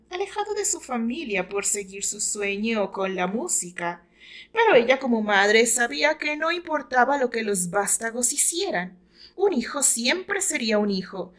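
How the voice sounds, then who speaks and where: A woman with a Mexican accent reads a dramatic novel aloud in a measured, expressive storytelling manner. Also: on the high side. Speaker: author narrating her own novel. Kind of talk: dramatic audiobook narration.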